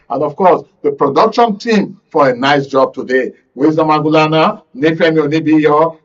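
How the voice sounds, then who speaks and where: Higher-voiced Nigerian male talk show host, dull audio. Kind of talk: current affairs panel moderation.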